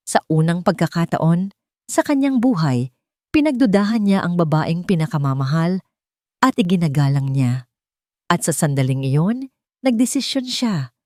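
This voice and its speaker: Filipino woman, storyteller, low voice, dry close recording, scripted romantic drama narration. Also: a little mellow, dry recording.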